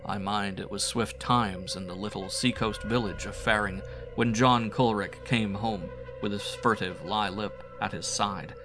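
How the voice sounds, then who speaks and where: Male audiobook storyteller, fairly low pitch, dramatic and brooding scripted horror delivery over music. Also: fairly even intonation, a little slow. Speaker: audiobook narrator.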